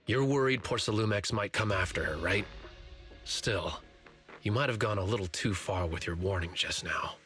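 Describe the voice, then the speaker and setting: A man's voice: on the low side, normal speed, a bit bright, soft background music, close to an everyday voice. A man playing a stoic, terse young teammate in an anime video game, speaking matter-of-factly. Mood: mild reproach.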